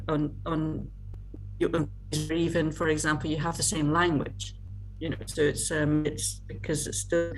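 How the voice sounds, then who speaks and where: A middle-aged Scottish woman from Scotland, a guest in a casual video-call interview, talking haltingly with many fillers and restarts. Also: sits low, rough recording.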